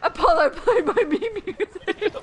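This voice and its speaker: A woman's voice: very high voice, unhurried, a crowd in the background. A woman streaming an online battle game, chatting with a friend, swearing and laughing in frustration. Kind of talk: casual gaming chat with a friend. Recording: game music loud behind the voice. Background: game music and sound effects.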